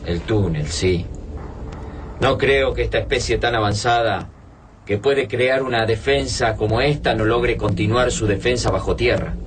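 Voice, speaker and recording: A man's voice: medium pitch, a little slow, lightly acted. A man with an Argentine accent playing a practical, sober-minded survivor in a radio drama, earnest and explanatory, heard slightly off-mic. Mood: grim. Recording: slightly distant, faintly noisy scene ambience.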